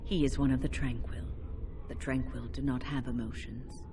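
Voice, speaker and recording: An actress playing a wise, protective older mage in a video game, speaking in a measured, earnest, stern manner. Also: female voice, extremely low pitch, level tone, noisy background. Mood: explanatory. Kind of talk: video game character acting.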